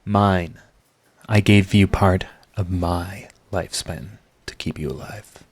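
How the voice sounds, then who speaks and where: A man's voice: sits low, steady tone, slow pace, husky, full character voice. A man playing a proud villain who is secretly devoted to his rival, in an intimate, emotional audio roleplay. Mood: defensive, intense. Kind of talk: dramatic ASMR audio roleplay.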